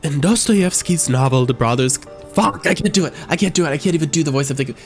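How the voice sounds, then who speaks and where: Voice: medium pitch, fast, soft background music. A streamer chatting casually and joking at their own expense during a gaming stream. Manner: chatty, self-deprecating, laughing.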